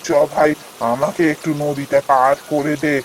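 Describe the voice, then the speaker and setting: A man voicing an earnest, kindly village gentleman in a cartoon fairy tale, speaking familiarly like a patron to a junior. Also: on the high side, little pitch movement, unhurried, a little hiss or hum, lightly acted, rough recording. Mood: worried pleading.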